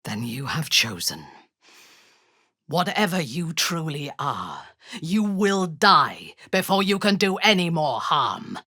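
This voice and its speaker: A woman playing a proud, ruthless dark elf commander in a video game, speaking formally and haughtily in a breathy, husky voice. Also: sits low, sing-song, dry recording, studio quality, exaggerated voice. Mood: stern, resolute. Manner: measured, haughty, formal diction. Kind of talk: video game character dialogue.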